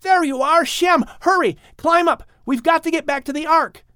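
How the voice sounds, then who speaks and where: A man's voice: extremely high pitch, moderate pace, sharp tone, no room sound, clean studio sound, pitched above the performer's own voice. A man voicing an anxious young man in an audiobook adventure, calling out urgently. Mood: urgent, alarmed.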